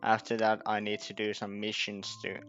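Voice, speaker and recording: A man giving casual gameplay commentary, thinking aloud in a halting, repetitive way as he plans. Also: on the low side, completely flat delivery, a bit bright. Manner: halting, repetitive, planning as he goes. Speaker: gaming video creator. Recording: dull lo-fi mic, game music behind. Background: faint game music.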